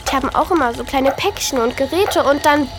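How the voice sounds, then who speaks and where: A woman's voice: sits high, fairly quick, music in the background, natural voice. A woman playing a bold, bossy and eager young girl detective in a children's audio drama. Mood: eager, daring. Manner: brash, eager, conspiratorial.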